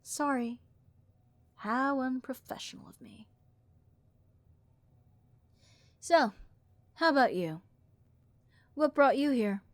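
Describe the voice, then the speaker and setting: A woman voicing a bored, snarky harpy copilot in a comedic audio roleplay, with a raspy vocal fry and overdramatic, deadpan complaints. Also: high-pitched, slow, dry recording. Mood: chatty, teasing. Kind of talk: comedic audio roleplay monologue addressed to listener.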